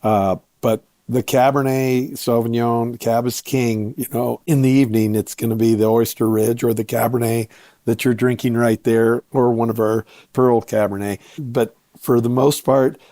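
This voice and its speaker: A man explaining things as an interview guest, telling stories in a relaxed, measured, folksy way. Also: mid-range voice, no echo, clean studio sound. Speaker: guest, vineyard owner and grape grower.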